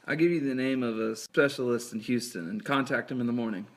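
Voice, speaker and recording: Man acting an earnest surgeon, mid-pitched voice. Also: fast talker, natural voice. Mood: grave, businesslike. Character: earnest, grave male eye surgeon. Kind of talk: amateur scripted film dubbing.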